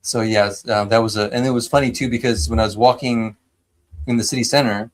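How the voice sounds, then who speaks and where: A man's voice: medium pitch, fairly even intonation, brisk. An American man from San Diego hosting a live stream, chatting enthusiastically with lots of fillers and restarts. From San Diego, United States. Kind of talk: casual chat.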